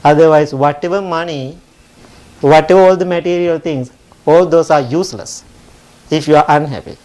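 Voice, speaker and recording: A man's voice: fairly high pitch, normal speed, low-quality recording. A man from Sri Lanka, a Buddhist monk giving a dharma talk, often asking "right?" and laughing lightly. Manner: warm rhetorical asides, often asks "right?", laughs lightly. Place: temple hall.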